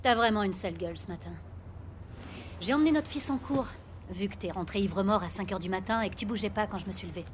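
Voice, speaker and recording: An actress playing a policeman's exasperated, sharp-tongued wife in a French audio drama, dry and scolding. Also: mid-range voice, fast talker, close to an everyday voice. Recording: noisy ambience behind the voice.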